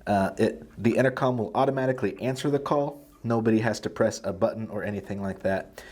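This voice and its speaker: A man's voice: lowish voice, steady tone, a little fast, a little mellow. Man presenting a casual product tutorial. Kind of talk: casual step-by-step product demonstration.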